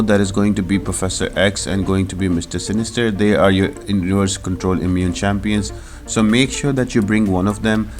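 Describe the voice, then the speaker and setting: A man with a low-pitched voice hosts a gaming walkthrough. He explains things step by step in an instructional way, with faint music playing behind him. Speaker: gaming video host. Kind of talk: walkthrough commentary.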